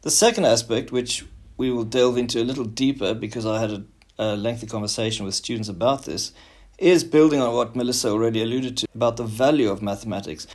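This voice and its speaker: A man's voice: medium pitch, quick pace. A man hosting a podcast, presenting in a scripted narration style. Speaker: podcast host.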